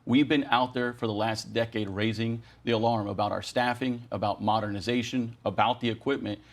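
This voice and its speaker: A man gives a news interview as a union spokesman. He sits in a middle pitch range and argues his case earnestly, with emphatic, rallying phrases strung into long sentences. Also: fairly even intonation, brisk. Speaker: air traffic controllers' union president.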